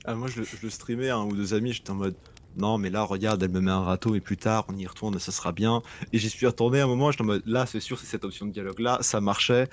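A man's voice: on the low side, steady tone, fast. A man co-hosting a casual gaming podcast chat, talking effusively and gushing with laughter. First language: bilingual French and English. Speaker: podcast co-host.

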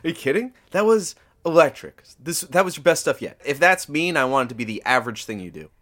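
A woman's voice: sits low, expressive, quick pace, fairly bright tone. A woman playing a wry, casual radio producer who eggs on the host in an audio drama. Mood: excited, egging on. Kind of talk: semi-improvised audio drama acting.

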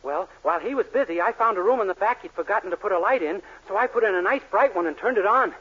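High-pitched naive young man. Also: animated, fast, bright, forceful tone, husky, exaggerated voice. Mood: eager, naive. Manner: naive, earnest, guileless. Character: naive, eager young man, dim drugstore clerk. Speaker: sitcom lead actor. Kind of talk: 1940s radio comedy acting. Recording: muffled 1940s radio recording.

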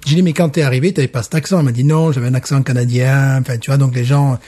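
A man's voice: medium pitch, steady tone, fast, mellow. A man from France speaking as a guest in a casual conversation, telling reflective anecdotes with frequent hesitations.

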